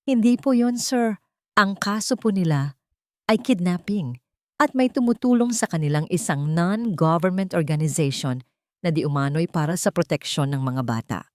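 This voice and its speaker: A woman narrator plays a serious lawyer in a dramatic audio story. The delivery is formal and deferential, in a mellow voice with a dry sound. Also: lowish voice, animated, normal speed, no room sound, natural voice. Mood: serious, urgent. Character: serious, respectful lawyer bearing bad news.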